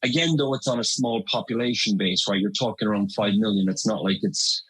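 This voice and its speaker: Husky Irish man, chatty. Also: lowish voice, brisk, a bit bright, low-quality recording. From Ireland. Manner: conversational, many fillers and restarts. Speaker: guest. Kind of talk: interview conversation.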